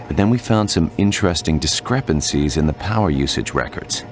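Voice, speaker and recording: An actor playing a businesslike, deferential technician underling in a video game, speaking matter-of-factly. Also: male voice, expressive, brisk. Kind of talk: video game cutscene acting. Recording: rumbling noise behind the voice.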